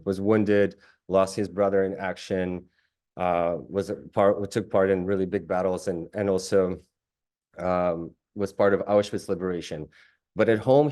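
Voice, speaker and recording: A man in his late thirties from Russia, giving an online talk about his work, thoughtful and halting, with frequent restarts. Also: deep voice, darkish tone. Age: late 30s. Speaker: guest artist giving a talk.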